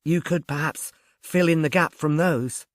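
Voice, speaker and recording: A man's voice: on the high side, unhurried, no room sound, clean studio sound, pitched above the performer's own voice, close to an everyday voice. Inquisitive, slightly uneasy woman, voiced by a man. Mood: curious. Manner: inquisitive, conversational. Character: curious, uneasy woman questioning a detective. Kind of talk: audiobook character dialogue.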